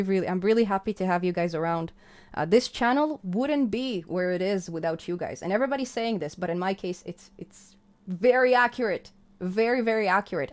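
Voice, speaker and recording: A woman's voice: mid-range voice, darkish tone. A woman from Israel with a Hebrew accent, hosting her channel's live stream and chatting casually as she reads viewer comments, effusive and full of fillers. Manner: chatty and effusive, gushing with thanks, many fillers and restarts. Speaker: channel host.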